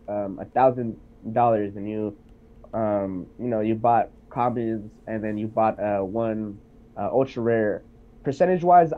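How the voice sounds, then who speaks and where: Stuffed-up guy on a video call, lots of ums, sounds all phone-tinny. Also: mid-range voice. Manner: hesitant, many ums. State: congested from being sick. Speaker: guest. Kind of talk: casual chat on a call.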